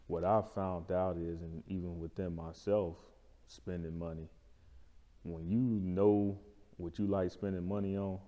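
A man's voice: deep voice, level tone, unhurried, warm tone, lo-fi sound. A man, talking casually as an interview guest and explaining things in colloquial terms, often checking in with the listener. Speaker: barbershop owner, interview guest. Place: indoor lounge-style studio. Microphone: lapel mic. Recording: reverberant room.

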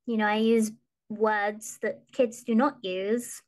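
A young woman with a high voice, chatting casually as a podcast guest. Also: steady tone, slow. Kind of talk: casual chat. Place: small room at home, video call.

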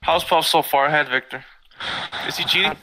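High, piercingly bright-voiced man gaming. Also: brisk, slight background noise, rough recording. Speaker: video game player. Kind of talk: casual banter while gaming with friends.

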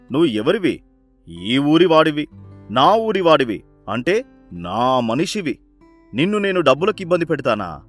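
A man voicing a sly, ageing village chief in a moral story, commanding and smooth-talking. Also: mid-range voice, lightly acted. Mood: falsely generous. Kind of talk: animated moral story character acting.

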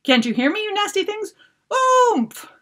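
Woman playing storybook kitchen girl, high-pitched, shrill, excitable, swooping intonation. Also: a little slow, full character voice. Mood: panicked shrieking. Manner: shrill, exclaiming.

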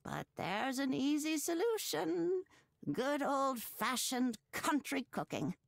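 A woman playing a doting, teasing old farm grandmother in a cozy video game, with a creaky, homespun delivery. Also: high-pitched, background noise, exaggerated voice. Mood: warm fussing. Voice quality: creaky, aged. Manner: slow, homespun, expressive.

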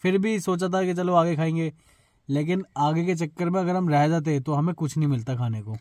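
Male passenger, relaxed casual chat, mellow tone, dry sound. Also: a little high, brisk, no room sound. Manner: easygoing, repeats himself. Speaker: travel companion. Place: inside a car.

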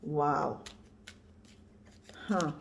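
A woman in her fifties, originally from Poland, talks through a tarot reading to a camera pointed at her cards. Her voice sits on the low side, and she speaks emphatically, often checking in with "right?". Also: extremely slow, gravelly. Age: 50s. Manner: emphatic, repeats "yeah" and "right?". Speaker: tarot reader. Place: indoors at a card table, camera on the cards.

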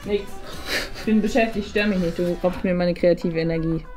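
A woman's voice: lowish voice, steady tone, fairly quick, dark tone. A woman in her thirties chatting casually in a playful, teasing way. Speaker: participant in a painting challenge. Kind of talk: casual chat. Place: indoor children's room. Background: background music.

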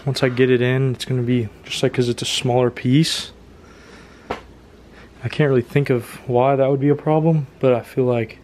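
A man's voice: medium pitch, slight background noise. Casual young man vlogging in his garage. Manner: self-deprecating, chatty, talks to camera while working. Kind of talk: casual DIY vlog. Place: home garage and driveway.

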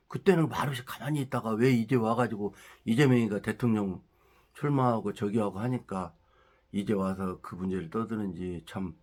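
A man's voice: fairly low pitch, darkish tone. A middle-aged man with a stuffy head cold, ranting casually about politics with rhetorical questions. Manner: ranting, rising heated at points with rhetorical questions. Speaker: political commentary channel host.

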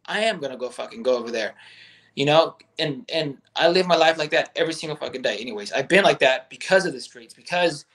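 A man's voice: on the high side, animated, quick pace, fairly bright tone. Blunt, profane man on a video call. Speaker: guest, gun business owner. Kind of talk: candid podcast chat. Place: bedroom at home.

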